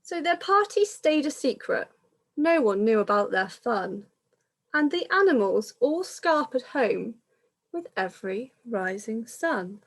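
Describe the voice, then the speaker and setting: Slow-paced woman reading a storybook. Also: a little high, very animated. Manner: measured, explaining pictures to children. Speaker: primary school teacher reading a picture book. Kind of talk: storybook read-aloud for young children.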